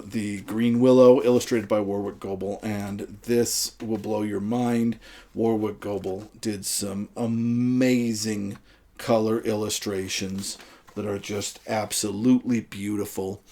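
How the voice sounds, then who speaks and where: A man's voice: fairly low pitch, mellow. A man presenting to viewers in an informal, explanatory way, with an enthusiastic manner. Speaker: video presenter on book history.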